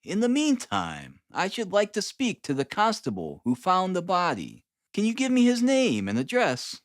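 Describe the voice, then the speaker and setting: A man voicing a brilliant, sardonic gentleman detective in an audiobook, speaking with formal, ironic courtesy. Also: high voice, huge pitch swings, normal speed, no echo, studio quality, pitched above the performer's own voice, exaggerated voice. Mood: brisk, courteous.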